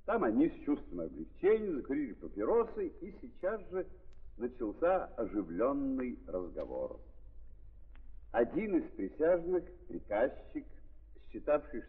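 An actor as a wry literary narrator, reading with deliberate, expressive phrasing in a 1930s theatrical style. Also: male voice, mid-range voice, husky. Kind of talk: scripted dramatic narration, 1930s stage reading. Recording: muffled, tinny 1930s recording, long reverb.

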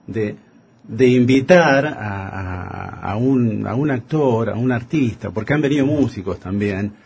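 A man with a Rioplatense Argentine accent talking casually on the radio in halting phrases that he often restarts. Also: on the low side, vocal fry, a little mellow, slightly rough. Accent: Rioplatense Argentine Spanish. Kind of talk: casual radio studio conversation.

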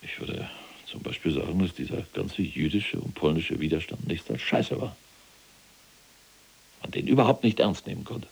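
A cold, self-serving SS general weighing a trial in a radio play. The voice is extremely deep, gravelly and husky. Sentences are formal and deliberate, full of calculation. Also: male voice, animated, a little mellow, full character voice. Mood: cold contempt. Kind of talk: radio drama dialogue.

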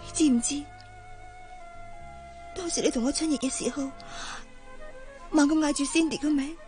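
An actress playing a gentle, dutiful young working woman in a radio drama, speaking with restraint and a Hong Kong Cantonese accent. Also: high voice, normal speed, over music, close to an everyday voice. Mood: hurt, resigned. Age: young adult.

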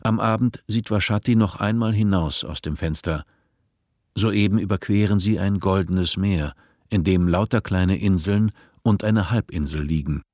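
A man narrating a radio play in a measured, literary and composed manner. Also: deep voice, darkish tone, dry recording, clean studio sound.

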